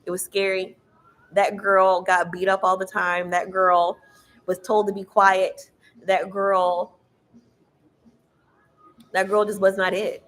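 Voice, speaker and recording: A woman reads tarot cards in a loud voice that carries even when she tries to keep it down. She speaks in a casual, emphatic, sermon-like way and swears freely. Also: mid-range voice, slow, pauses often. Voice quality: loud, carrying voice. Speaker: tarot reader. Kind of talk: casual spiritual tarot reading.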